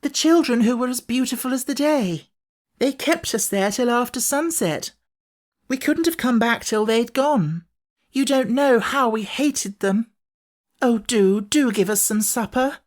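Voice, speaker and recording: A woman's voice: on the high side, lively intonation, measured pace, pitched above the performer's own voice. A woman voicing an earnest young girl in a children's storybook, speaking with emphatic feeling. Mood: pleading.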